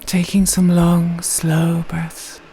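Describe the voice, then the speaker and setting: Soft, mellow woman guiding meditation. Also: fairly low pitch, monotone, slight background noise. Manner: gentle, slow, soothing, with long pauses. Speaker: meditation guide. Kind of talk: guided meditation narration. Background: soft meditation music.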